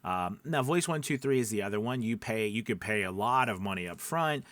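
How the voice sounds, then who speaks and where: Male coach, low voice, dry close sound, casual conversational teaching with frequent check-ins. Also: animated, brisk, fairly bright tone, no room sound. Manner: conversational, frequent check-in tags like right and okay. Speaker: voice-over coach and host. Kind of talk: casual instructional chat to camera.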